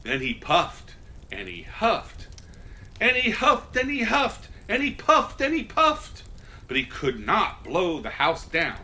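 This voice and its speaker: Slow, animated male storyteller. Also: high-pitched. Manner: folksy, theatrical. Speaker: storyteller presenting as Santa. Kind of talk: animated children's story reading.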